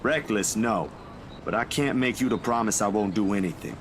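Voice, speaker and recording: A man's voice: lowish voice, moderate pace, slight background noise, natural voice. A man voicing a caring, stubborn young man in a visual novel game, earnest and casually slangy. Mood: earnest, determined. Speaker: video game character.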